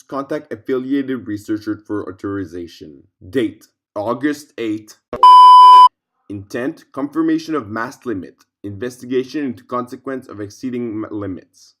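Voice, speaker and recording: A man reading a scripted fictional case file aloud as narrator, in a formal, measured way. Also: medium pitch, lively intonation, a little mellow.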